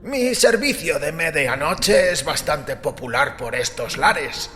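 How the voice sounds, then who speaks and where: Kindly old priest for an audio story, high voice, very polite old-timey talk, music under it. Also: male voice, expressive, takes it slowly, sharp tone, long reverb tail, full character voice. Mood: welcoming. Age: older. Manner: courteous, formal archaic speech. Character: elderly arthritic priest, gentle, good-humored, last of his church. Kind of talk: audiobook character acting.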